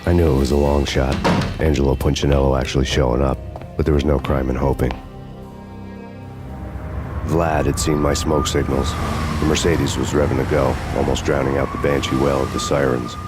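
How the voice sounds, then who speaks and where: An actor playing a grim, world-weary, vengeful hard-boiled narrator in a noir game, brooding and deadpan. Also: male voice, a bass voice, moderate pace, loud music behind the voice.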